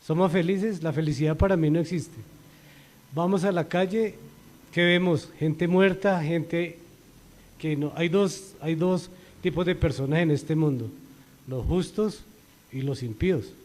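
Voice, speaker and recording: A man's voice: fairly high pitch, a little slow. A middle-aged Colombian man with a Colombian accent giving an earnest church testimony, his voice rising when he quotes scripture. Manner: earnest, rises and grows louder when quoting scripture. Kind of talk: spoken religious testimony. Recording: long reverberant hall.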